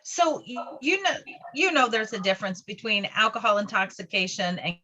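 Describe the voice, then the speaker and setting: A woman co-hosting an interview, chiming in briefly and asking a quick question. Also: medium pitch, bright, forceful tone, slight background noise. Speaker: interview co-host. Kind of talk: casual conversational interview.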